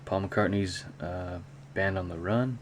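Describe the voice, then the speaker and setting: A man talking casually and unscripted in a hobby show-and-tell vlog, with a rambling, halting delivery. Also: low-pitched, fairly even intonation. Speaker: hobby vlogger, cassette collector. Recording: clear background noise.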